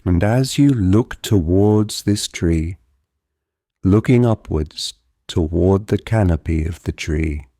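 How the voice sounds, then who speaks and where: A man guides a relaxation exercise in a low, warm voice. His delivery is very slow, calm and deliberate, with a gentle hypnotic flow. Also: no echo, clean studio sound. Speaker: guided visualisation narrator. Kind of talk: guided meditation, soothing hypnotic narration.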